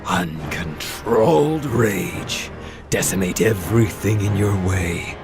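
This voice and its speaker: A man playing a cruel, mocking hunter god in an audio drama, taunting in a drawn-out, theatrical way. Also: low-pitched, expressive, creaky, full character voice. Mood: cold menace. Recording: music bed behind voice.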